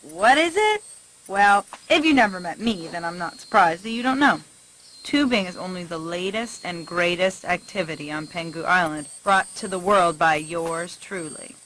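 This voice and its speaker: A woman's voice: fairly low pitch, animated, moderate pace, fairly bright tone, a little hiss or hum, rough recording. A woman voicing an upbeat, boastful young penguin surfer doing a breezy, slangy video blog in a game. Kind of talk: scripted video blog monologue.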